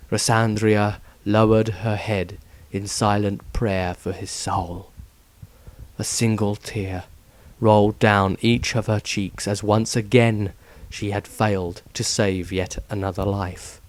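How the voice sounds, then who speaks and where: A man reading a fantasy story aloud as a solemn, measured narrator in a dramatic scripted style. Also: lowish voice. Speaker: author reading own fiction aloud.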